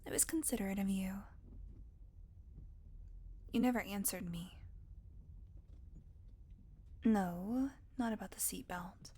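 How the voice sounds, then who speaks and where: A woman playing a weakened, wry female vampire in an audio roleplay, halting and tired, with breathy, teasing asides. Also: a little high, a little mellow. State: weak, tired. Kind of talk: audio roleplay acting addressed to listener.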